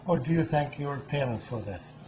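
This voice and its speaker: Mellow-voiced male talk show interviewer. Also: medium pitch, expressive, moderate pace, a little hiss or hum, rough recording. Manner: repeats answers back, effusive praise. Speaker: guest host and interviewer.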